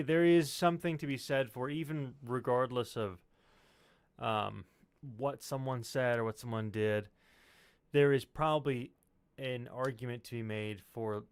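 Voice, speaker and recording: A man in casual discussion, measured in how he talks, qualifying his points with care. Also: mid-range voice, fairly even intonation, smooth, no echo.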